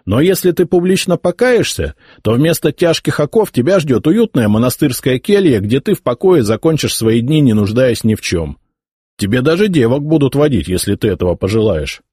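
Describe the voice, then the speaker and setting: A man's voice: medium pitch, big pitch swings, normal speed, darkish tone, breathy edge, no room sound, studio quality, pitched above the performer's own voice. A man voicing an arrogant young heir to the throne who mocks and threatens his old uncle. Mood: haughty. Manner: contemptuous, mocking. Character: arrogant young male emperor's heir, sneering. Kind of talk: audiobook character voicing.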